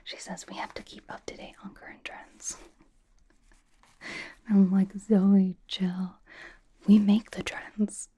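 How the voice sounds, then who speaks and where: A woman voicing a blunt but cozy young female pop star in a breathy, casual, halting ASMR whisper, doting on the listener. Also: mid-range voice, little pitch movement, mellow, lightly acted. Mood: wry, casual. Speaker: ASMR roleplay performer. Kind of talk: whispered ASMR personal-attention roleplay.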